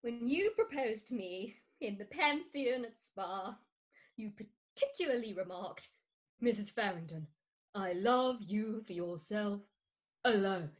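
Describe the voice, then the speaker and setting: A woman's voice: medium pitch, expressive, unhurried, dull audio, close to an everyday voice. An actress playing a dramatic middle-aged Victorian wife and mother in a comic stage reading, theatrical and emphatic, on a remote recording. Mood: pleading.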